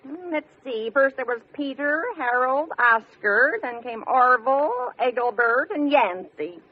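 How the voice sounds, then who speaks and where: A droll, matter-of-fact housemaid of middle age in an old radio comedy. Her high voice has a lively lilt. It is a muffled, noisy recording with audience sound. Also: unhurried. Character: droll, plain-spoken middle-aged housemaid. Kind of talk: 1940s-50s radio sitcom acting.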